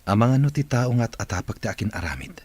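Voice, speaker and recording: A man's voice: fairly low pitch, big pitch swings, fast talker, a little mellow, breathy edge, no room sound. A man playing an ailing, solemn boss who longs to recover, in a radio drama with an Ilocano Filipino accent. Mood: grave, suspicious.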